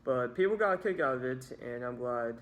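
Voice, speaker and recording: A young adult man tells a personal story in a scripted storytime style. His voice sits at an average pitch. There is faint noise behind him as he narrates. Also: expressive, fairly quick, a bit bright. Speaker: video creator telling a story.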